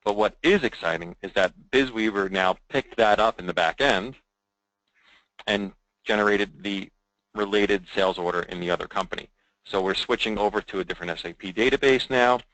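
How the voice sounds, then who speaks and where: Deep-voiced man presenting a software demo. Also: fairly quick, no room sound, rough recording. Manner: conversational, checking in with "right?".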